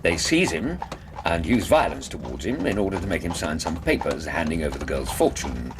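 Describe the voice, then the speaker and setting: An actor playing an earnest English gentleman companion in a radio drama, reasoning aloud in a deliberate, formal manner. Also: deep voice, sing-song. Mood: earnest, theorising. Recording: noisy street ambience with horse hooves.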